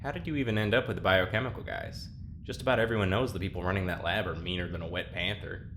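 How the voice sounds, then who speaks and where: Weary, sardonic low-voiced roommate. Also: male voice, fast talker. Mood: tired, dry. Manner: deadpan, sarcastic. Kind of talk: audio drama dialogue. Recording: noisy background.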